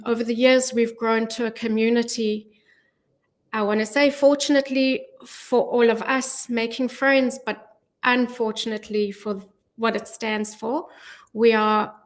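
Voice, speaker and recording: A middle-aged woman speaking as a guest in a radio studio interview, earnest and measured, with frequent ums, on a studio microphone. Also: fairly high pitch, level tone. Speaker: guest, charity volunteer. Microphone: studio mic with headphones.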